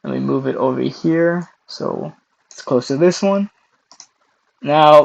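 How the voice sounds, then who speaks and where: A young man hosting a casual screen-recorded software tutorial, hoarse and losing his voice, clearing his throat often between steps. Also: medium pitch, low-quality recording. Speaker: tutorial host.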